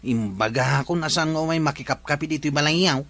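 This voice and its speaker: A man's voice: fairly high pitch, a little fast, a bit bright, no room sound. A man acting a plain-spoken, grumbling village man in a comedy radio drama, with a Filipino accent. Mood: conversational.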